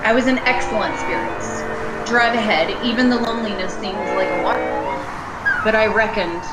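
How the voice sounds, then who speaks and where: A woman acting a haunted traveller in her thirties in an amateur radio thriller, urgent and halting, repeating phrases for emphasis. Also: mid-range voice, lightly acted, rough recording. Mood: uneasy recounting. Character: haunted 36-year-old woman traveller, shaken but insisting she's sane. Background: music and rain.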